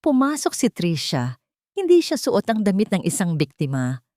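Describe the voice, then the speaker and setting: A woman narrating a drama story in an expressive, dramatic way. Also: mid-range voice, normal speed, no room sound, clean studio sound.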